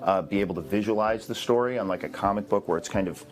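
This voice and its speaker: A man giving a reflective interview as a project's co-creator, speaking in a thoughtful, halting way. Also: fairly low pitch. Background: music.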